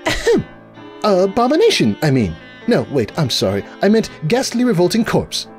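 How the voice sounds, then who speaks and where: Man putting on a high, prissy, flustered cartoon lady unicorn. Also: wildly swooping pitch, normal speed, soft background music. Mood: strained politeness. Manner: fussy, flustered, stammering politeness. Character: prissy, squeamish, theatrical lady unicorn, queasily polite.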